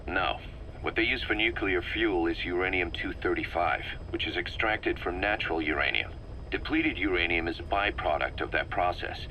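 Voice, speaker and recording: An actor playing a serious military second-in-command in a video game, giving matter-of-fact explanatory briefings. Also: male voice, low-pitched, moderate pace, bright, forceful tone, slight background noise, low-quality recording. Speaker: military deputy briefing his boss.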